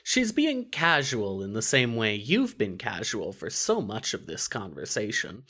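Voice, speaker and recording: A narrator reading scripted fiction in an expressive, wry storytelling manner. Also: medium pitch, unhurried.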